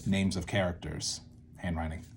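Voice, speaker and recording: A young man explaining in a casual, halting way, thinking aloud and often repeating and checking himself. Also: low voice, fairly even intonation, gravelly, husky. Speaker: creator teaching a creative method.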